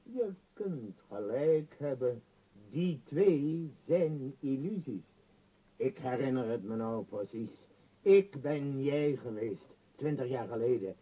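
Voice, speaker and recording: Pompous husky tycoon, swooping delivery. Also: male voice, medium pitch, lively intonation, takes it slowly, dark tone, highs cut off. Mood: boastful. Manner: pompous, emphatic. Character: vain, powerful male tycoon, boastful and scornful. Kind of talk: radio drama acting.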